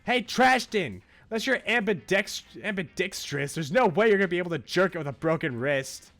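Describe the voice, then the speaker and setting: Guy doing a brash bossy game girl, scolding, raised pitch, swings all over, music behind. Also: expressive, moderate pace, a bit bright. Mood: taunting. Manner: scolding, emphatic. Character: bossy, brash, taunting young female workout buddy. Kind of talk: visual novel character read-aloud.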